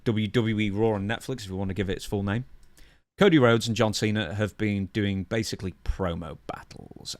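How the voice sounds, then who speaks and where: Low-voiced man hosting a podcast. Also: dry recording. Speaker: podcast co-host. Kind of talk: casual chat and show introduction.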